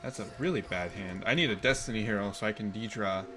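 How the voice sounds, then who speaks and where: Twenty-something guy streaming a card game, mutters and swears, game music faint behind. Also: lowish voice. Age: 20s. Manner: swearing, thinking aloud, quick bursts of excitement. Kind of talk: casual gameplay commentary. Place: room indoors.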